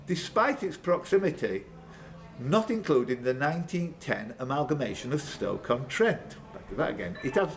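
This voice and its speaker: A man hosting a live pub quiz as quiz master, reading questions and tossing out bantering, jokey asides. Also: fairly high pitch. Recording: background room noise.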